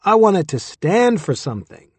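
A man's voice: high voice, sing-song, normal speed, a little mellow, husky, no room sound, pitched above the performer's own voice, exaggerated voice. Guy doing a brash ranting dude in his 30s, mocking, voice jumps all over. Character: big brash man in his thirties, provocative stage ranter.

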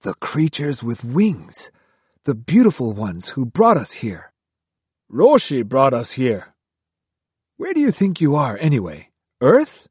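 A man's voice: mid-range voice, wildly swooping pitch, slow, darkish tone, slightly rough, no echo, heavily acted. A man voicing a restless male monk and former drunk, bitter and argumentative. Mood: irritated.

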